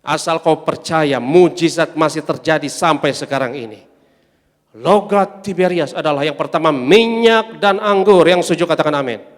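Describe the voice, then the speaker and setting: Emphatic pastor in a reverberant hall. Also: male voice, a little high, normal speed. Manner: emphatic preaching, swelling loud on refrains, calls for amen. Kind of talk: sermon. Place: large church hall. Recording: long church-hall reverb.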